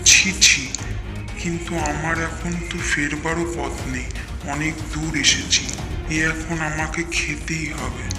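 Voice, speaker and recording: An actor playing an earnest, persistent but wary man seeking a guru, pleading and muttering doubts, in an animated horror story. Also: fairly high pitch, a little slow, close to an everyday voice. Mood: disgusted, doubtful. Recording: echoey reverberant voice over clear music.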